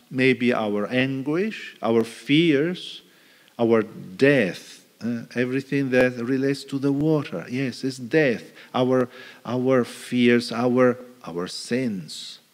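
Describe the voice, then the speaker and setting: Middle-aged priest preaching at a lectern mic, unhurried, lots of pauses and restarts, big church echo. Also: male voice, medium pitch. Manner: slow, reflective, with pauses and restarts. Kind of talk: homily. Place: large church. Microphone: stand mic at lectern. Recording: reverberant church hall.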